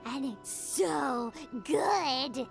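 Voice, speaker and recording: A woman voicing a tiny, childlike, cheerful fairy companion in a video game, with an excitable, squeaky delivery. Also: very slow, vocal fry, exaggerated voice. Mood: excited, proud. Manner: squeaky, refers to self in third person. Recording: loud music behind. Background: music.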